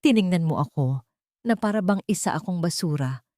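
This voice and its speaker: A woman's voice: lowish voice, expressive, fairly quick, a little mellow, dry recording, studio quality, natural voice. Woman voicing a domineering, furious groom. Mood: angry, accusing. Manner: accusing, domineering. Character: cruel, furious young groom, wounded pride.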